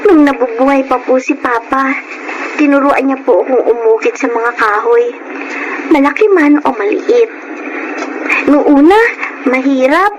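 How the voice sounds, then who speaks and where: A woman's voice: high voice, measured pace, long pauses, dull audio, background noise. A woman playing a polite, hardworking thirteen-year-old girl in a Tagalog radio drama, earnest and devoted. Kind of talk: Tagalog radio drama acting with first-person narration. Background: music.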